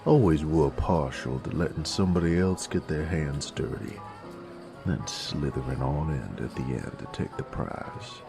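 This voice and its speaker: Gravelly, deep werewolf gunslinger. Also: male voice, over music, heavily acted. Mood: taunting. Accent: American Southern. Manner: slow sardonic folksy drawl. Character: gruff male werewolf bounty hunter, drawling Old West gunslinger. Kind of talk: Western audio roleplay acting.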